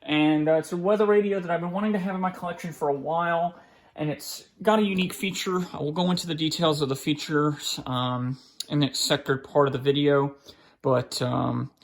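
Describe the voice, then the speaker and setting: Gravelly-voiced man casually narrating an unboxing. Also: fairly high pitch, fairly even intonation. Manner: halting, informal, thinking aloud as he handles things. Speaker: hobbyist collector presenting an unboxing. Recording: faint background noise, clicks of handling.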